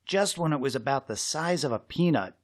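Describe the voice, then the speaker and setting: A man reading a children's story aloud in an expressive, dramatic way with suspenseful pauses. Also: fairly high pitch, dry recording, studio quality. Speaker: storybook reader.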